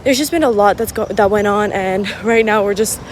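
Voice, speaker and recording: A young woman born in Morocco whose first language is French, chatting excitedly through a travel vlog with lots of fillers. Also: mid-range voice, brisk, a little hiss or hum. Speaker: travel vlogger. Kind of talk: casual vlog chat. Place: outdoors and indoors while traveling.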